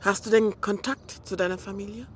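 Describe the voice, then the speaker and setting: A woman's voice: medium pitch, fairly even intonation, a little fast, slight background noise, close to an everyday voice. An actress playing a caring, frank young woman in a radio drama, coaxing and matter-of-fact with her friend. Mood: calm, probing.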